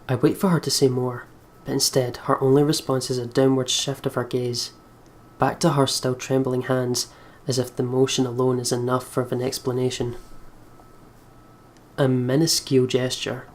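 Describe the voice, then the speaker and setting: Mid-pitched man reading a story aloud. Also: monotone, normal speed, darkish tone. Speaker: let's play host. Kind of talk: reading visual novel narration aloud.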